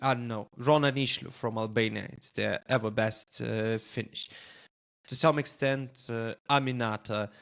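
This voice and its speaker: A man's voice: medium pitch, steady tone, normal speed, vocal fry, a bit bright. Lithuanian-native man hosting a podcast. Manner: thoughtful, many "uh" fillers. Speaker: podcast host. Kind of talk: casual podcast interview.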